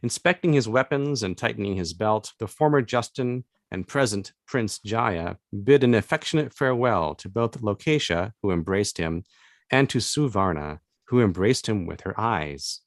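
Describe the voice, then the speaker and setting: A man reading his own literary fantasy novel aloud as narration, with measured, deliberate phrasing and pauses. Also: on the low side, expressive, no room sound, clean studio sound. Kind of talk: literary audiobook-style narration of a fantasy tale.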